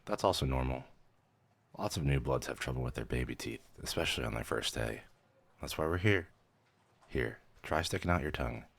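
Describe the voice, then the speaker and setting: A man playing a brisk, weary vampire mentor in a scripted ASMR roleplay, measured, clinical and dry in manner. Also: very deep voice, animated, vocal fry, husky, heavily acted. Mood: clinical, professional. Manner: measured, clinical, dryly matter-of-fact, hesitant when surprised. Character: male vampire mentor, brisk weary clerk-medic, professional turning casual.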